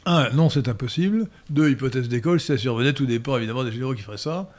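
A man's voice: medium pitch, fast. A man answering viewer questions in an unscripted Q&A, lecturing with frequent hesitations and restarts. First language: French. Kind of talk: unscripted spoken Q&A.

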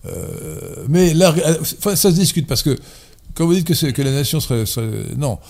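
Male debate guest, mellow tone; learned, rambling, self-correcting delivery. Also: fairly high pitch, lively intonation, normal speed, gravelly. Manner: erudite, digressive, many fillers and self-corrections. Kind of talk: casual debate chat.

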